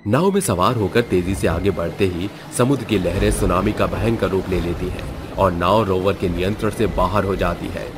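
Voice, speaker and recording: A man narrating a horror cartoon story in a steady, dramatic way. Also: deep voice, moderate pace, hardly any pauses, background noise.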